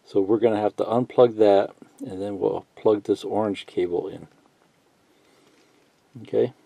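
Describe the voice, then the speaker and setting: A man giving a casual, unscripted how-to tutorial, thinking out loud in a halting, folksy way and reading bits of instructions aloud. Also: fairly low pitch, steady tone, gravelly. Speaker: hobbyist instructor. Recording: muffled lo-fi sound, roomy echo.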